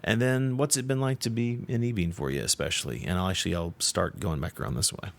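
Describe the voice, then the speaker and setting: A man with a very low, deep voice hosts a podcast in a relaxed, chatty way. He records in a hotel room, speaking into a shotgun movie mic. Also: quick pace, vocal fry. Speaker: podcast host. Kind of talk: casual podcast interview.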